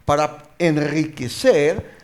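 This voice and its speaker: Male pastor for informal group teaching; slightly high voice, repeats key phrases, questions his listeners. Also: slow pace. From born and raised outside Mexico, living in Mexico. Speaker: pastor, guest explainer.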